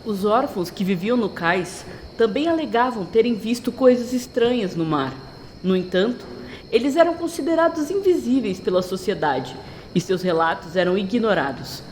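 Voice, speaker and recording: A woman's voice: medium pitch, big pitch swings, moderate pace, darkish tone, a little hiss or hum. A woman narrator reading a scripted story in a dramatic storytelling style.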